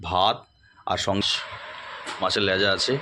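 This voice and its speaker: A man's voice: low voice, little pitch movement, a little slow, pushed and bright. A man giving casual daily vlog narration, speaking to his viewers as he goes about his day. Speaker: vlogger. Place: on location at home, roads and work sites. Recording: clear vehicle and outdoor noise.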